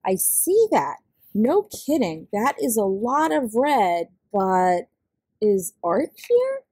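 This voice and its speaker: A woman's voice: mid-range voice, wildly swooping pitch, slow pace, a little mellow, breathy edge, dry recording, exaggerated voice. A woman voicing a polite, puzzled child in a picture book, earnest and a little hesitant. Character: puzzled, polite child searching for friend Art.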